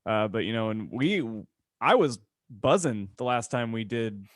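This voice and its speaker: A man's voice: on the low side, lively intonation, a little slow, no echo, clean studio sound. A man co-hosting a podcast with casual, joking banter. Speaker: podcast co-host.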